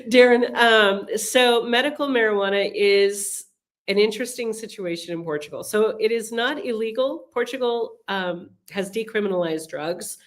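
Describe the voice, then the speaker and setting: A woman's voice: a little high, a little slow. A middle-aged woman with a cold, hosting a live webinar Q&A as a relocation advisor and answering viewer questions in a chatty, explanatory way with frequent ums and restarts. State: has a cold, sniffling. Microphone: computer mic on a webinar call.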